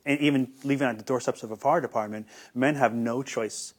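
Young man, interview guest, mid pitch, earnest, stumbling restarts. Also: fairly even intonation, a little fast, breathy edge. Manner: earnest, hesitant, repeats words. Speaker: guest, YouTuber. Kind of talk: conversational interview answers.

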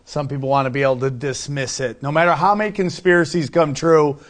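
A man's voice: a little high, steady tone, quick pace. A middle-aged man talking casually as an interview guest, a comedian who tells things in an emphatic way, repeating himself for effect.

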